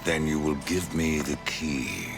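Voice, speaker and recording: An actor playing a grim, curt undead horseman warrior with a gravelly voice in a video game. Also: a bass voice, expressive, measured pace, over music, heavily acted. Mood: curt, suspicious. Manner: terse, blunt questioning.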